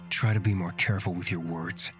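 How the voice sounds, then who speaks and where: A gruff, suspicious young man from a fantasy game, acted out by a woman. The voice is pitched very low and speaks in curt, blunt phrases, with music clearly behind it. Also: fairly even intonation, fairly quick. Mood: wary.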